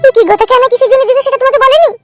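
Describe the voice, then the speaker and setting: A woman's voice: very high voice, steady tone, racing, a bit bright, faint music behind. A woman playing a long-suffering village wife in a comic cartoon, plaintive and complaining. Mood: plaintive, questioning.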